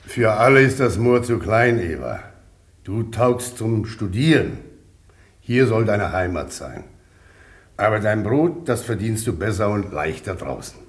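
Old farming patriarch, solemn, plain-spoken, halting weighty phrases, lively swooping pitch, radio drama. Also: male voice, breathy edge, exaggerated voice. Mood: earnest, fatherly. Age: older. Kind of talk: radio play acting.

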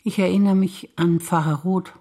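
An older woman from Bavaria, a stage actor, reciting memories in a measured, reflective way. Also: on the low side, fairly even intonation, dark tone. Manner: measured, reflective, list-like delivery.

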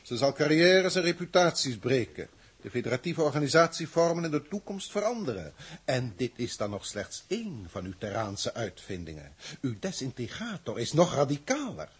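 Lofty alien official in old sci-fi radio play, very husky sound, big swooping delivery. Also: male voice, medium pitch, big pitch swings. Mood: pleased, grand. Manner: formal, pompous, deliberate. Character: authoritative, grandiloquent alien proctor from a galactic federation. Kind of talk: 1960s Dutch science-fiction radio drama acting.

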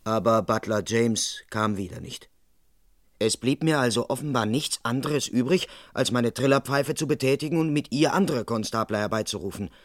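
An actor playing a dutiful, politely flustered police constable, formal and deliberate, narrating and speaking in a crime radio play. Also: male voice, medium pitch, no room sound, close to an everyday voice. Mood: deadpan narrating.

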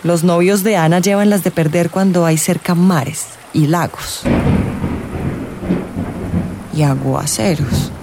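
An actor playing an eccentric, probing astrologer in a radio drama, speaking in dramatic, drawn-out pronouncements. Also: medium pitch, fairly even intonation, gravelly, noisy background. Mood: teasing, probing. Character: eccentric astrologer, theatrical, teasing, probing, solemn. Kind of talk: theatrical radio drama acting. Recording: music and vehicle sounds behind. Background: music, real outdoor soundscapes.

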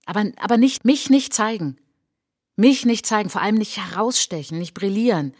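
A woman playing an introspective, wounded traveller who recalls an outcast childhood in a measured, poetic radio drama monologue, with a husky voice. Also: medium pitch, darkish tone, dry recording, studio quality, lightly acted. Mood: urgent. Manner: measured, deliberate, short phrases. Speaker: narrator and lead of an autobiographical radio play.

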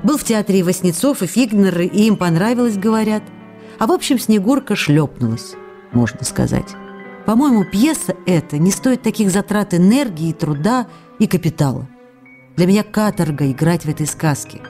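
A woman's voice: on the low side, dark tone, natural voice. An actress voicing a loving young actress in a radio drama, reading her letters in a tender, pleading, intimate way. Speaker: letter reader.

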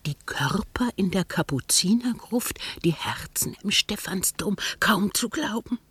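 An actress playing a blunt, bossy friend of nearly seventy in a radio drama, with a husky, breathy voice. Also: female voice, mid-range voice, expressive, a little slow, dry recording, whispered. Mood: lecturing, marvelling. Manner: scolding, lecturing.

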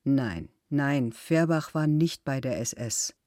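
An actor reading a witness statement that retells a friend's war story, calm and measured. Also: male voice, medium pitch, no echo, clean studio sound. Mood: grave, retelling.

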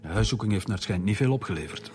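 An actor playing a reserved, cautious Flemish police director, formal and measured, in a radio drama. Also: male voice, lowish voice, animated, darkish tone, natural voice. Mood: reluctant, formal.

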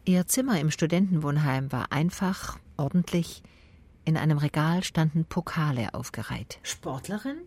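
A woman playing a cool, observant private detective who narrates her case tersely and matter-of-factly in a radio play, with a husky voice. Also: low voice, lively intonation, normal speed, a little mellow, heavily acted. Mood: probing, matter-of-fact. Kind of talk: radio play first-person narration and dialogue.

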